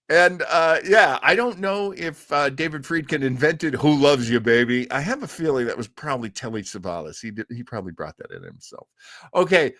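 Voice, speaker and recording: A man with a very lively, swooping delivery hosts a casual livestream. He rambles enthusiastically, halting and wandering off on tangents as he talks to his viewers. The sound is close and dry. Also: a little high, no room sound. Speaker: host of a livestreamed old-time radio listening show.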